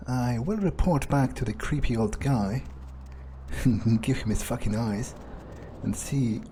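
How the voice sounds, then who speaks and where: A player narrating a video game in character, thinking aloud in a sardonic way with pauses mid-sentence. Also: medium pitch, dark tone, slight background noise. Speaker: game player role-playing his character.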